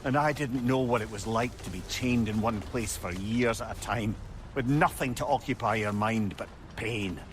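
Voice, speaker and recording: A man's voice: lowish voice, moderate pace, lightly acted. A man with a Scottish accent playing a learned, talkative older advisor in a video game, wordy and wry with a note of remorse. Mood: remorseful, confessional. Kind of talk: cinematic video game acting. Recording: clear background ambient noise.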